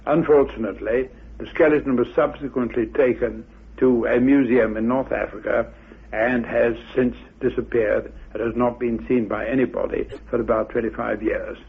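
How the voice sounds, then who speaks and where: An older man giving a measured, formal explanation in deliberate, scholarly phrases. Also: medium pitch, little pitch movement, vocal fry, husky. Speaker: expert interviewee. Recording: muffled, phone-like old radio recording.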